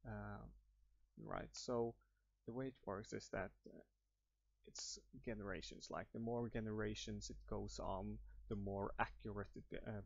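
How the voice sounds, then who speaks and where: A man's voice: on the low side, creaky, no echo. A young man with a Norwegian accent improvising a rambling screen-recording walkthrough, full of fillers and restarts. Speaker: YouTuber. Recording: muffled dry home mic.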